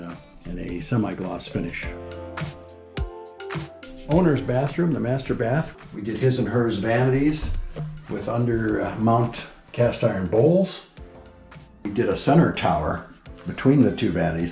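A man's voice: fairly low pitch, gravelly, a little mellow. A man working as a home builder explains a house on a tour in a matter-of-fact, informative way. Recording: roomy indoor echo with music behind. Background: background music.

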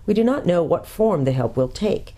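A woman's voice: lowish voice, lively intonation, dark tone. A woman voicing a learned old male doctor who speaks in a careful, scholarly way, in a storybook reading. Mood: measured. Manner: measured, scholarly.

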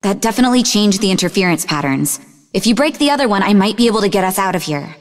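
An actress voicing a sarcastic, sharp-tongued, bookish young half-demon woman in scripted video game dialogue, with a dry delivery. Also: mid-range voice, animated, quick pace, long reverb tail, close to an everyday voice. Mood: urgent. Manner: dry, sardonic.

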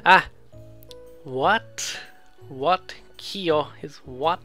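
A let's player giving casual, excitable, rambling game commentary, often interrupting themselves and reading dialogue aloud. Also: mid-range voice, very slow. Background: game music.

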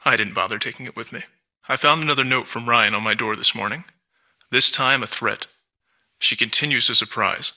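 An actor playing an arrogant, suspicious lab scientist in an adventure game, dictating work notes in a measured, condescending, precise way. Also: male voice, medium pitch, sharp tone, rough recording. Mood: wary, irritated. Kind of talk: scripted adventure game voice acting, diary-like monologue.

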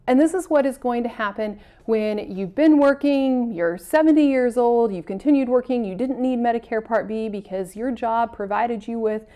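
A woman's voice: medium pitch, mellow. A woman in her thirties presenting an educational talk to camera in a studio, earnestly walking through examples in the first person. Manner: earnest, uses first-person examples, some self-corrections. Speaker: extension agent presenter. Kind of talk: explanatory lecture to camera. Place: studio with plain backdrop.

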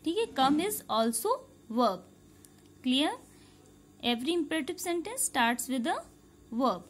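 A woman's voice: high-pitched, very animated. A woman teacher with an Indian English accent, explaining a grammar lesson to young pupils and repeating each sentence patiently. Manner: slow, repetitive, patient explaining, checking understanding. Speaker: schoolteacher. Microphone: phone camera held over a workbook.

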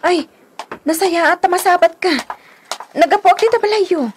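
A woman acting as a nosy, chatty Filipino neighbourhood friend in a radio drama. Her high voice swings in a lively way, with clear noise behind it. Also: normal speed. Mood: gossipy.

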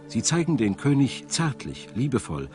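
A man's voice: medium pitch, big pitch swings, normal speed, a little mellow. A man reading scripted narration for a documentary as a studio voice-over. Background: music.

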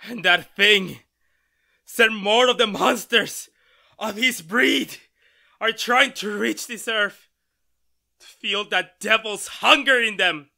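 Man acting a high-pitched, desperate, terrified hero. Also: gravelly, pushed and bright, full character voice. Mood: exhausted, grieving. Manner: melodramatic, halting, anguished. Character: terrified, desperate man fighting a monster to save mankind. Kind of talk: retro radio horror drama acting.

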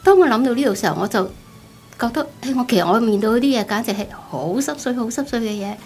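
Cantonese-speaking woman, slightly creaky voice. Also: a little high, animated, fast talker. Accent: Hong Kong Cantonese. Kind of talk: personal testimony, conversational storytelling. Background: background music.

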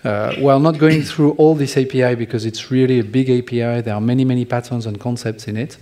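A middle-aged man with a French accent walks through code at a conference, his voice mellow and low-key. He talks in halting bursts, restarting phrases and filling gaps with small hesitations. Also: steady tone, slight background noise. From France. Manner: halting, many restarts and fillers, joking asides. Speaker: conference speaker. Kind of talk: technical conference talk. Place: large conference hall stage.

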